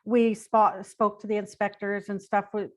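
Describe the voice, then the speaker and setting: A woman's voice: medium pitch, little pitch movement, normal speed. An older woman with a Canadian accent, speaking as an interview guest in an informal, rambling, anecdotal way. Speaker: interview guest, retired police dispatcher. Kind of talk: conversational personal storytelling.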